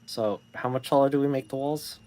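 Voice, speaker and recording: A man's voice: mid-range voice, fairly quick, dark tone. A man streaming a factory-building game, chatting casually and humming to himself while he adjusts his audio settings. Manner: easygoing, hums while fiddling with settings. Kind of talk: casual gaming chat.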